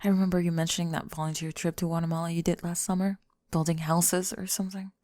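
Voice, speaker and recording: A young woman playing a guarded, flustered college student in an intimate ASMR roleplay, speaking softly and haltingly, often slipping into whispers. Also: fairly low pitch, flat delivery, dry recording, close to an everyday voice. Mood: awkward, wistful. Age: young adult. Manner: halting, sighing, self-conscious. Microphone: close microphone, dry.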